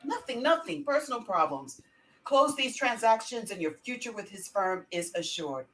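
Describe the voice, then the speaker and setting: A woman's voice: fairly high pitch, sing-song, normal speed, a bit bright, poor audio. A woman voicing a pompous, formal male employer, reading a script in an emphatic, theatrical way. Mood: dismissive. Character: brisk, pompous senior male lawyer, an employer. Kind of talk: amateur script read-through.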